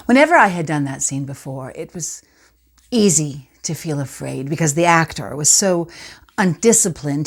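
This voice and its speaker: A woman playing a reflective, intense stage actress in a theatre monologue, speaking deliberately with dramatic pauses. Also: on the low side, breathy edge. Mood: tense, reflective. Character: poised stage actress recalling past performances, intense and reflective.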